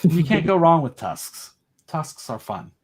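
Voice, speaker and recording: A man's voice: a little high, sing-song, a little slow, slightly rough. Eager rambling man explaining his creation. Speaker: guest, creator of an audio drama. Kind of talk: casual interview chat.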